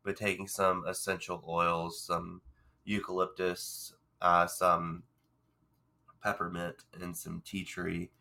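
A man co-hosting a podcast, congested and hoarse from a cold, rambling in casual chat with frequent restarts. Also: low voice, monotone, slow pace, bright, forceful tone. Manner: rambling, self-deprecating, restarts often. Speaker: podcast co-host.

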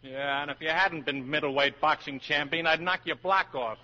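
An actor playing an ardent middle-aged college professor with earnest, emphatic delivery, in 1940s radio drama style. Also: male voice, fairly high pitch, steady tone, normal speed, lightly acted. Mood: combative. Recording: muffled, noisy 1940s radio recording.

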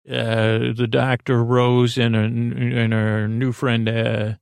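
A man with a creaky voice hosts a sleep podcast, meandering through a low-key, self-deprecating bedtime monologue with hesitant, self-interrupted sentences. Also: little pitch movement, slow pace, dry recording, studio quality.

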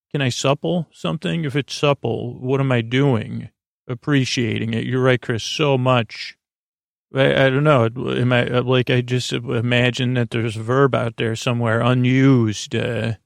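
A man hosting a sleep podcast, talking gently in a meandering, halting, goofy monologue with a creaky voice. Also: medium pitch, no echo, clean studio sound. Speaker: podcast host.

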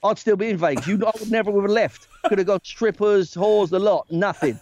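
A man, a talent agent and guest on a podcast, telling stories in a loose, animated way with lots of "right?" tag questions. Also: sits high, brisk, noisy background. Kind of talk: casual storytelling chat. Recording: dry, dull lo-fi sound.

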